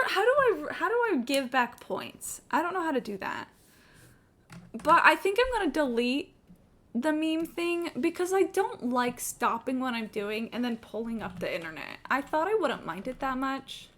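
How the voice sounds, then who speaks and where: A woman's voice: sits high, big pitch swings, normal speed, bright, forceful tone. A woman giving casual live game-stream commentary in a sarcastic, exasperated, sweary manner. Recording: game music behind.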